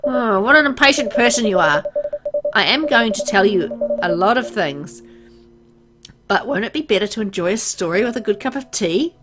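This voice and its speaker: A woman's voice: medium pitch, lively intonation, normal speed, over loud music, close to an everyday voice. A woman voicing a mysterious, century-old male mansion host from a visual novel, teasing and smug in a theatrical, taunting manner.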